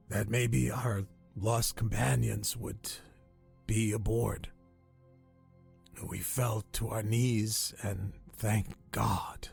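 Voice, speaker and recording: Gravelly, dying old castaway. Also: male voice, fairly low pitch, full character voice. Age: older. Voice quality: weak, raspy, breathy. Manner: halting, solemn, old-fashioned phrasing. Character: dying old castaway man, weary, haunted, grateful. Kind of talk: radio drama storytelling.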